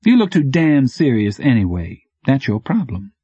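Man playing brash street-smart courier, pitched way up. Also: big pitch swings, normal speed, warm tone, breathy edge, no echo, lo-fi sound. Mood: explaining, amused. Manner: slangy, clipped, needling. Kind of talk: audiobook character dialogue.

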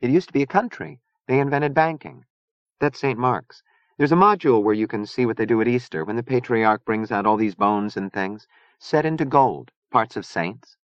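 A man voicing an earnest, anxious teenage girl in plain, soft-spoken audiobook dialogue. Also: mid-range voice, moderate pace, no room sound, muffled recording, voice pushed up, natural voice. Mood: explaining.

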